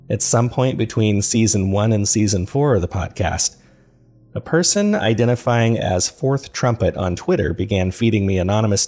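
A man playing an earnest, uneasy paranormal podcast host, narrating a documentary-style recap in a measured, confiding way with a creaky, low voice. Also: darkish tone.

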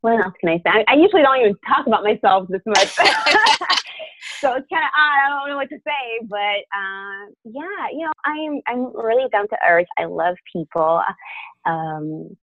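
A woman's voice: fairly high pitch, expressive, moderate pace, no room sound. A woman in her thirties whose first language is French, a podcast guest speaking casually and hesitantly, with frequent ums. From born in Africa, grew up in Quebec, Canada. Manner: hesitant, many ums, laughs easily. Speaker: podcast guest, business owner. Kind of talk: casual interview chat. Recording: tinny phone-line audio.

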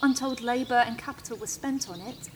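A woman's voice: on the high side, brisk, close to an everyday voice. A woman playing an anxious, bitter sister in an audio drama, speaking in an emphatic, exasperated manner. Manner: emphatic, exasperated, repeating herself. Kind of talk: naturalistic audio drama acting. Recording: clear outdoor bird noise. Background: birdsong, outdoor ambience.

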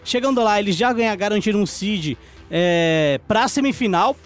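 Male esports co-host, Brazilian accent, high register, casual explainer delivery over light music bed. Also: moderate pace. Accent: Brazilian Portuguese. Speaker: esports show co-host and commentator. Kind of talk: casual studio news chat.

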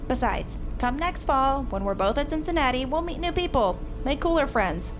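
Sarcastic high-pitched teenage girl. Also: lively intonation, normal speed. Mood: mocking, dismissive. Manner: snarky, mocking. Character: sarcastic, excitable teenage fangirl, a high school senior. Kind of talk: scripted teen comedy-drama acting. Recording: music under the dialogue.

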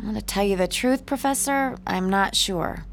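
A woman's voice: mid-range voice, normal speed, music in the background, natural voice. An actress playing a sceptical, sardonic young woman artist in an audio drama, wry and emphatic. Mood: shaken, uneasy. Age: young adult.

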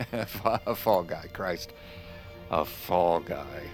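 An actor playing a stuffy, indignant political official in an audio drama, halting and pompous in manner. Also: male voice, low voice, lively intonation, over loud music, heavily acted. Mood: incredulous.

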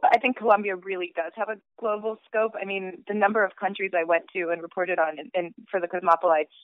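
A woman in her thirties, raised in Switzerland, talks through her ideas with plenty of ums and restarts. The sound is thin and tinny like a phone line, and it is dry with no room around it. Her pitch sits mid-range. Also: dry recording. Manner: thoughtful, frequent fillers and restarts. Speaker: author interviewed about her book. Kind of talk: casual interview.

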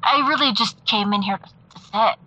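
A sleepless, hesitant woman in an audio drama. Her voice is fairly high and bright, and she talks in self-conscious starts. The sound is slightly dulled. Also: muffled recording. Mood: uneasy, sheepish. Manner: hesitant, halting. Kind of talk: naturalistic audio drama acting.

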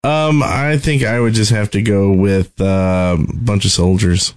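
A man co-hosting a gaming podcast, talking casually in a somewhat hesitant, halting way. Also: lowish voice, fairly even intonation, a little mellow. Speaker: podcast co-host.

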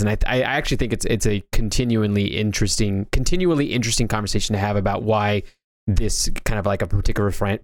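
A man's voice: fairly low pitch, dry recording. A man hosting a casual pop-culture discussion, talking in a loose, rambling way and often repeating himself. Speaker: podcast host. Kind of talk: casual discussion of video game movies.

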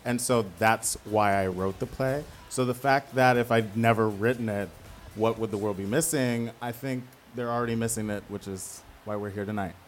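A man's voice: on the low side, fairly even intonation, moderate pace, over music. A man speaking as a playwright, giving a thoughtful interview answer.

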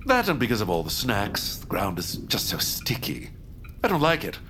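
An actor playing a gloomy, lovesick baron in an audio drama, speaking in a formal, pompous, melodramatic way. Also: male voice, on the low side, huge pitch swings, normal speed, pushed and bright, a little hiss or hum, full character voice. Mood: mournful, grandiose. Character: gloomy, lovesick, theatrical baron who scorns good times.

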